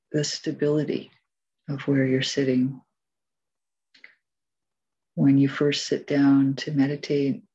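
A woman leading a guided meditation as its teacher, giving gentle, measured instructions with long pauses. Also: sits low, husky, highs cut off.

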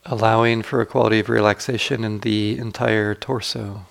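A man leading a guided meditation as a teacher, speaking gently and unhurriedly with long pauses. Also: fairly low pitch, steady tone, creaky.

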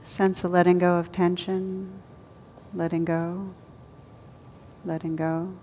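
A woman leads a guided meditation in a low, very even voice. She gives slow, soothing instructions and leaves long pauses between phrases. The recording is dull and lo-fi. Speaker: meditation teacher. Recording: dull lo-fi recording with rumbling background noise.

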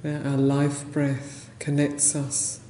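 A woman leading a guided meditation as a teacher, speaking gently and unhurriedly in short phrases with long pauses. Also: low-pitched, monotone. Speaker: meditation teacher, Buddhist nun. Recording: roomy echo, faint background noise.

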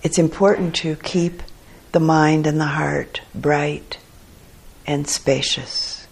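A woman meditation teacher leads a guided sit, speaking in gentle, unhurried phrases separated by long pauses. Her pace is very slow, and her voice has a gravelly, breathy husk. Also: deep voice, fairly even intonation. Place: meditation hall.

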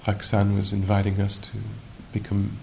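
Male meditation teacher, lowish creaky voice, unhurried and musing, live recording with faint rumbling noise. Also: completely flat delivery. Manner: unhurried, musing, gentle questioning with long pauses. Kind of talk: guided meditation at a retreat.

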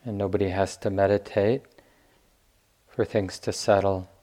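A man teaching meditation, giving gentle guided instruction in short phrases with long pauses. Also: on the low side, little pitch movement.

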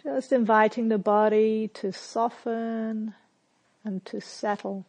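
A woman leading a guided meditation as a teacher, speaking gently and with measured, spare phrases separated by long silences. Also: fairly high pitch, steady tone, low-quality recording. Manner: gentle, measured, softly instructive.

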